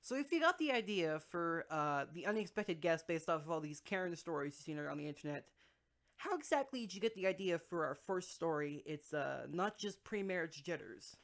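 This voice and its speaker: A woman with a low voice co-hosts a casual podcast. Her pitch moves around a lot as she puts questions to her partner and answers in short, understated phrases. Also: animated, brisk. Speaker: podcast co-host asking questions. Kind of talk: casual interview-style chat.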